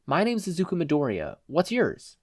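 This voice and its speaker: A narrator voicing a teenage boy hero who is cocky and playful but gently reassuring, with a casual, earnest manner. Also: mid-range voice, wildly swooping pitch, moderate pace, no echo, studio quality, voice pushed up. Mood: gentle, reassuring. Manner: casual, nonchalant, softening to earnest.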